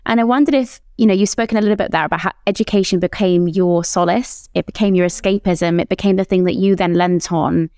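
A woman's voice: fairly low pitch, steady tone, fast talker, few pauses, darkish tone, no echo, studio quality. A woman hosting an interview, asking thoughtful, reflective questions. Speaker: host. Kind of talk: podcast interview.

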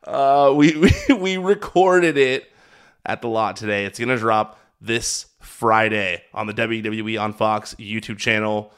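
A man's voice: on the low side, measured pace, a bit bright, smooth. An American man hosting a podcast, giving a casual, chatty recap with enthusiastic, emphatic delivery. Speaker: podcast host. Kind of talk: casual solo recap commentary on wrestling.

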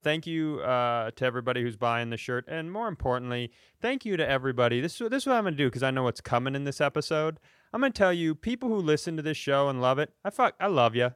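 Male co-host, Minnesotan by upbringing, casual podcast talk, crisp tone, very dry recording. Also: medium pitch, lively intonation, fast, fairly bright tone, dry recording.

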